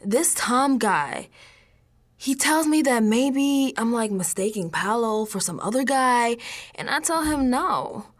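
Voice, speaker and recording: A woman's voice: a little high, animated, slow pace. A woman playing a streetwise, unsettled young American woman in an audio drama monologue, confiding in colloquial, emphatic speech. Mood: puzzled, insistent. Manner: colloquial, sassy, emphatic, dropping into whispers.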